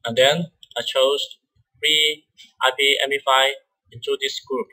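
A man with a bright, forward voice at a medium pitch walks through software step by step. He is a non-native English speaker, and his delivery is halting, with repeated words. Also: fairly even intonation, poor audio. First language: not English. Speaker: software tutorial presenter. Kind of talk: step-by-step screen-recorded software tutorial.

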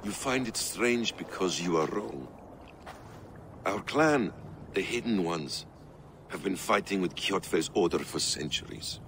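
Deep-voiced shrewd assassin mentor. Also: male voice, animated, gravelly, background noise, exaggerated voice. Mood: assured. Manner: knowing, deliberate. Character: shrewd, calm foreign assassin mentor, middle-aged. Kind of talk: cinematic video game drama.

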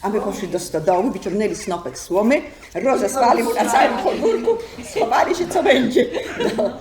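A woman's voice: a little high, expressive, moderate pace. An older woman, telling anecdotes casually in rural speech. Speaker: senior sharing memories. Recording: chatter of a group behind.

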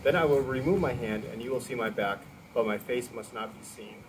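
A man's voice: medium pitch, brisk. Man reading scripture aloud, noisy recording. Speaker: scripture reader. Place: outdoors in front of a garage. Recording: steady outdoor ambient noise.

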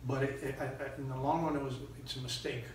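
A middle-aged man from Miami speaks as an interview guest in a halting, conversational way. The recording is very echoey and picked up from a distance, with faint noise. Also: mid-range voice, fairly even intonation. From Miami, United States. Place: small indoor room.

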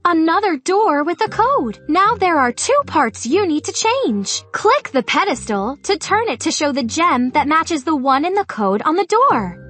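Peppy 10 yr old boy leader for a kids game, high bouncy voice, cheering you on and explaining stuff, music behind. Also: sing-song, unhurried, few pauses, bright, forceful tone, heavily acted. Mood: helpful, upbeat. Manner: encouraging, clearly instructive. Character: Ryder, upbeat ten-year-old boy rescue team leader. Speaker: game guide. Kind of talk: children's educational game narration. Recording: music under the voice.

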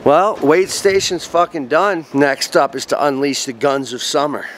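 A man chatting casually in an excitable, exclaiming way, swearing loosely, in a fitness store. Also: a little high, a little slow, noisy background. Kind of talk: casual chat.

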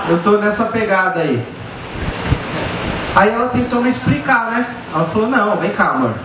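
A man's voice: sits high, animated, moderate pace, recorded from a distance. A middle-aged man with a Brazilian accent doing colloquial, crude stand-up storytelling into a handheld microphone on a small stage. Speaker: stand-up comedian. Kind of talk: stand-up comedy storytelling to a live audience. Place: small indoor bar stage. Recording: very echoey live venue with faint background noise.

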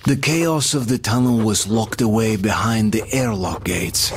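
Slow, sombre game narrator. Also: male voice, lowish voice. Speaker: narrator and protagonist.

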